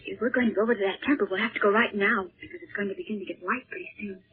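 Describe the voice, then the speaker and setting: An old, narrow, muffled 1930s radio recording of a young sister in an adventure serial. She speaks quickly and earnestly in a slightly husky voice, anxious yet determined. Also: medium pitch, steady tone. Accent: American. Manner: urgent, earnest.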